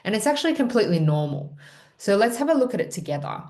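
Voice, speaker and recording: A woman's voice: mid-range voice, very animated, fast, darkish tone. A woman presenting a student orientation webinar, explaining in a reassuring way with the odd filler. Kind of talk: pre-departure orientation webinar talk.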